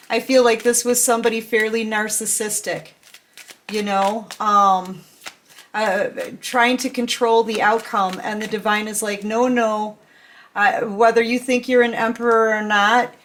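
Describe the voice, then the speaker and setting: A woman doing a casual tarot reading, chatty and affectionate, full of fillers and restarts, a little sick and clearing her throat. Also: on the high side, a bit bright, a little hiss or hum. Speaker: tarot reader.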